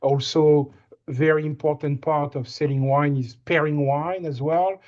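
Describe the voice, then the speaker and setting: A man with a light French accent, a winemaker, talking casually and haltingly with many fillers on a video call. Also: fairly high pitch, fairly even intonation, darkish tone, highs cut off. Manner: hesitant, many fillers and restarts.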